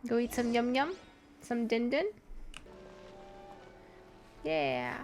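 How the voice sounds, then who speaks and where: Female game streamer, higher pitched, very unhurried, casual cutesy commentary over faint music. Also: sing-song, a little mellow.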